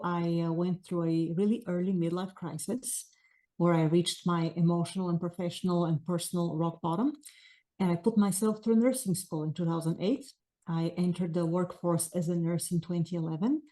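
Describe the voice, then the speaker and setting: Bulgarian accent woman, 40s, mellow lowish voice, long earnest answers w/ lots of uh's. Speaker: author guest. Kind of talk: interview answers.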